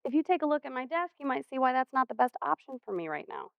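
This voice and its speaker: High-voiced, creaky American school counselor. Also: female voice, big pitch swings, no echo, lo-fi sound, full character voice. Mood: patient, reasoning. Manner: measured, reasoning, placating. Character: patient, earnest female school counselor. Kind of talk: stage play acting.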